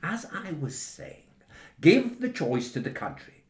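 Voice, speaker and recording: An actor in a satirical radio play as a scheming, jingoistic senior government strategist, pompous, persuasive and calculating. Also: male voice, fairly high pitch, very animated, measured pace. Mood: smoothly calculating. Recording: roomy, echoing interior.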